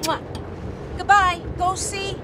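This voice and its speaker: Casting: middle-aged woman, reality show host, very high bright voice, jokey and loud, recorded out on a boat over loud music. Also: fairly even intonation, slow pace. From New Jersey. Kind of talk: reality show chatter to camera. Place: fishing boat on the ocean, outdoors. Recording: music playing loudly under the voice.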